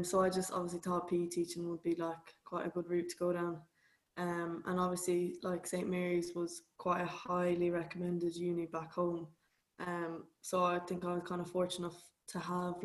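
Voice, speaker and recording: A woman, a student chatting casually with lots of "like" and "um". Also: fairly low pitch. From Ireland. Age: 20s. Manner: relaxed, many fillers like "like" and "um". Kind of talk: casual chat.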